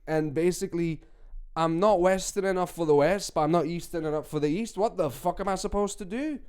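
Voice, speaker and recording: A man's voice: high-pitched, a little fast, darkish tone, clean tone. A man from Romania whose accent mixes Eastern European, London and a little Scottish, co-hosting a comedy podcast in a heated, sweary way. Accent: mix of Eastern European, London and a little Scottish. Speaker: podcast co-host. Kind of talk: casual comedy podcast chat.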